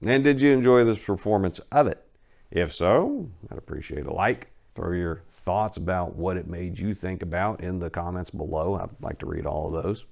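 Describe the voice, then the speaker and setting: Low, gravelly man chatting to viewers. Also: moderate pace, a little mellow. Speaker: story reader and channel host. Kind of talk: casual outro addressed to viewers.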